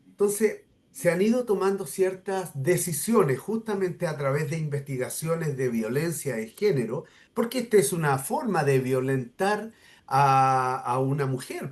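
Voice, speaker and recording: A middle-aged Chilean man with a Chilean accent, speaking formally in a discussion with many hesitant fillers and emphatic stresses. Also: fairly high pitch. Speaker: interviewer. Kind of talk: formal discussion, addressing a researcher.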